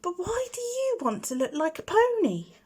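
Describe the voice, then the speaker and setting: A woman voicing a naive, eager young male barn owl chick in a storybook, inquisitive, blunt and quick to get huffy. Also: very high-pitched, sing-song, a little mellow, voice pushed up. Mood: curious, questioning.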